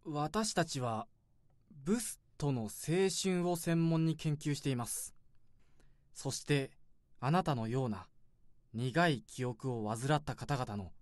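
An actor playing a calm, earnest counsellor who gives measured, solemn advice in a radio drama. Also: mid-range voice, no echo, clean studio sound. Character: calm, earnest counsellor researching bittersweet youthful love. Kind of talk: Japanese radio drama dialogue.

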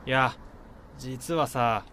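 A man's voice: mid-range voice, very slow. A man acting in a radio drama as a wistful grown man, speaking in a reflective, subdued way. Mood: troubled. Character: wistful grown man recalling his childhood dream letter. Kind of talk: radio drama monologue and narration. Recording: noisy vehicle ambience behind. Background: vehicle and outdoor ambience.

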